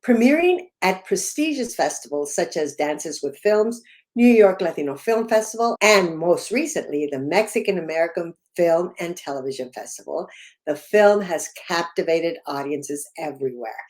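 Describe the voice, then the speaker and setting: A woman's voice: on the low side, expressive, normal speed, a little mellow. A woman hosting an interview show, introducing her guest and asking questions. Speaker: interviewer and talk show host.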